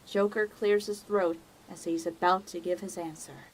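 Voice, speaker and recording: A woman giving dramatic scripted narration for an audio drama, in a measured and solemn manner. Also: fairly low pitch, fairly even intonation, slight background noise, rough recording.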